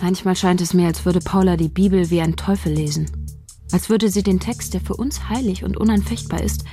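An actress playing a shy young writer who narrates her own story in a radio drama, measured, wry and observant. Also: lowish voice, mellow, soft background music, lightly acted. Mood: brooding. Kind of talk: intimate first-person radio drama narration.

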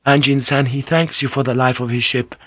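A man's voice: medium pitch, steady tone, brisk, a bit bright, no room sound, pitched above the performer's own voice, natural voice. A man voicing a young Japanese noblewoman, an earnest interpreter who speaks politely and with formal care. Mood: polite, measured. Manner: formal, careful phrasing.

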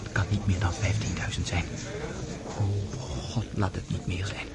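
An actor playing a proud, desperate young count in a radio drama, agitated and halting. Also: male voice, low voice, flat delivery, loud music behind the voice. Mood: shaken. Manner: agitated, halting, stammering repetitions. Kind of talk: radio drama acting in Dutch.

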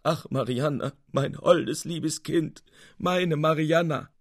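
A man's voice: a little high, takes it slowly, dry recording, studio quality, voice pushed up. A man voicing an effusive, sentimental old uncle in a theatrical, plaintive storybook reading. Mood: wailing grief. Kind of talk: dramatic literary reading.

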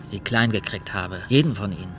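An actor playing a callous serial killer in a radio drama, confessing to police in a matter-of-fact, colloquial way. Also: male voice, on the low side, normal speed, close to an everyday voice. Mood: callous, boastful. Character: callous serial killer boasting to police about cheap sausage. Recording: music behind the voice.

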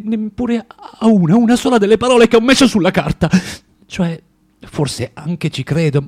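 An actor playing a bitter, tormented man of forty in a theatrical radio monologue, halting and repeating himself as he swings between muttering and outbursts. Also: high-pitched, breathy edge, full character voice. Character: bitter, tormented forty-year-old underground man, spiteful and self-contradicting.

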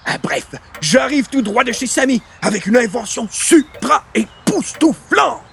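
A man playing an excitable, chatty friend in an old-time radio comedy, exclaiming with familiar enthusiasm. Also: very high-pitched, unhurried, vocal fry, full character voice. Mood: excited. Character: excitable, chatty friend showing off a silly invention.

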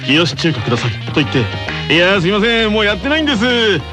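Man playing a deadpan, earnest tennis club captain. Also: high voice, rapid-fire, music in the background, voice pushed up. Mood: deadpan grumbling. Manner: deadpan, musing monologue. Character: earnest, self-conscious teenage male tennis club captain writing his blog. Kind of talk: scripted anime radio monologue.

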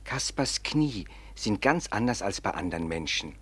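An actor playing a simple, kindly prison warder in a 19th-century radio play, deferential, earnest and a bit halting. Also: male voice, on the low side, sing-song, fairly bright tone, breathy edge, heavily acted. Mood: earnest, confiding.

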